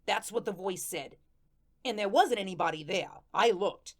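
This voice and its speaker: A woman's voice: medium pitch, normal speed, close to an everyday voice. A woman playing a chatty, aggrieved ghost of a murder victim, telling the story in slangy, conversational radio drama style. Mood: aggrieved, bemused. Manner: colloquial, ungrammatical, conversational storytelling. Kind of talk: first-person radio drama narration with acted dialogue.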